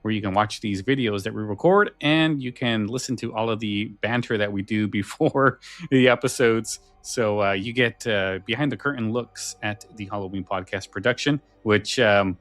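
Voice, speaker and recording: A man hosting a podcast in casual chat, halting a little and correcting himself as he goes. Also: fairly low pitch, fairly bright tone, no echo, faint music behind. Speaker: podcast host. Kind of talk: casual chat and show sign-off.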